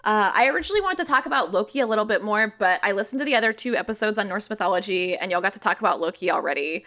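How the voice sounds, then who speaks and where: A woman speaking as a podcast guest, explaining things casually and thinking aloud in a halting way. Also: medium pitch, lively intonation, a bit bright.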